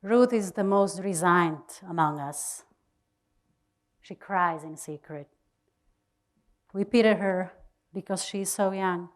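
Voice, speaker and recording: A woman giving a dramatic reading of a diary, deliberate and expressive, with pauses. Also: fairly low pitch. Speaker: reader of a diary.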